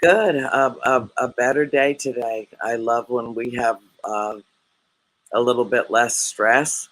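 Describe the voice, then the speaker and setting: A man's voice: mid-range voice, fairly even intonation, takes it slowly, fairly bright tone, no echo, poor audio. A man co-hosting a reflective spiritual discussion, speaking hesitantly with frequent restarts. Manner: hesitant, with many restarts and fillers. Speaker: co-host.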